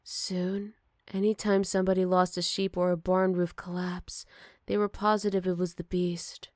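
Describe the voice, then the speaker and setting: A woman voicing a gentle, motherly older werewolf, wistful and protective, in a soft and caring ASMR roleplay. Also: on the low side, little pitch movement, no echo, studio quality, lightly acted. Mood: wry, reflective. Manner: soft, caring, unhurried, slipping into whispers. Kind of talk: ASMR roleplay, intimate one-sided dialogue to listener.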